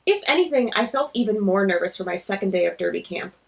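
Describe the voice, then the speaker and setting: A woman's voice: mid-range voice, lively intonation, fast talker, creaky, pitched below the performer's own voice. A woman reading a graphic novel as a nervous, self-deprecating girl who narrates in a wry, glum way.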